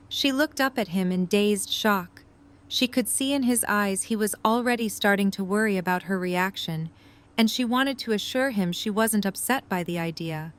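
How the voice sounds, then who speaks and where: A woman reading scripted third-person story narration as the narrator of an audio drama. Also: medium pitch.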